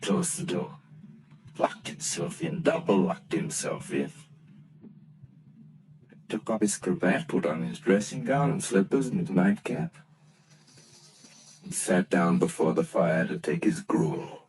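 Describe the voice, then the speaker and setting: A man narrating a story in a vintage radio play, measured and lively. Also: low voice, noisy background. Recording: old radio play with music. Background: music.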